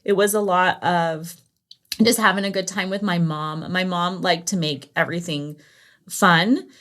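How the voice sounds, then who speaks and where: A woman's voice: lowish voice, fairly even intonation, unhurried, a bit bright. A woman telling personal stories casually and animatedly as a podcast guest. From Los Angeles area, United States. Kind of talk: casual conversational storytelling.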